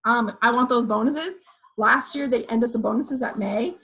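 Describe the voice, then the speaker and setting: A woman with a hoarse voice giving an energetic motivational pep talk to her sales team, often checking in with "okay" and "you guys". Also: fairly high pitch, moderate pace. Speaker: direct sales team leader.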